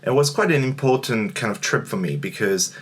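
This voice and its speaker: A young man telling about his travels in a relaxed, chatty way, with lots of small fillers. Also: medium pitch, a little fast, breathy edge. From Morocco. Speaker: travel vlogger. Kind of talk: casual travel vlog narration.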